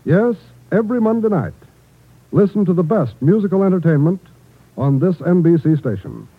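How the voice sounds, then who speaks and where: An American male announcer with a fairly high voice and lively intonation. He reads formally and dramatically in 1950s radio style. The sound is muffled and old. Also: normal speed.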